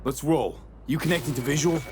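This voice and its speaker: An actor playing a steady, terse mercenary partner in a video game, speaking in clipped, reassuring phrases. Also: male voice, a little high, fairly quick, slight background noise, natural voice. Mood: focused. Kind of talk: dramatic video game voice acting.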